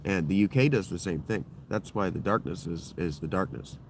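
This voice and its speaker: A man with a deep voice hosting his own livestream, talking in a loose, digressive and profane monologue that keeps circling back on itself. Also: normal speed, a little hiss or hum. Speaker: livestream host. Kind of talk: rambling solo livestream monologue.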